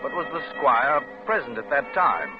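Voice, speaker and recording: A tinny old radio recording with music behind carries an actor as a detective narrating a case. His medium-pitched voice is expressive yet formal, in classic crime drama style. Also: moderate pace, lightly acted. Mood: measured, grave. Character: measured police investigator recounting a case.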